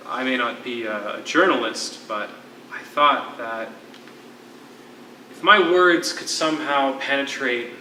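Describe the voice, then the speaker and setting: Stage role: wistful poet and ex-teacher in captivity played by a man, very bright forceful voice, eloquent phrasing, echoey distant recording. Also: medium pitch, a little hiss or hum. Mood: earnest, proud. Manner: eloquent, theatrical, measured storytelling. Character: lyrical, wistful former poetry teacher, a political prisoner. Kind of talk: live one-act stage play.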